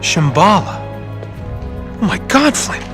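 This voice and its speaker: A man playing a wry, quick-witted treasure hunter in a video game cutscene, casual in manner. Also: sits high, big pitch swings, over music, exaggerated voice. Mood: awed, excited.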